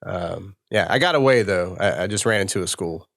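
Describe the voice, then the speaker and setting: A man's voice: low voice, fairly quick, vocal fry, fairly bright tone, no echo. A man with a Texan accent and a dry-sounding voice, chatting as a comedian guest on a podcast, rambling and laughing as he tells stories. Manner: loose, rambling, laughing storyteller. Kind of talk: casual podcast chat.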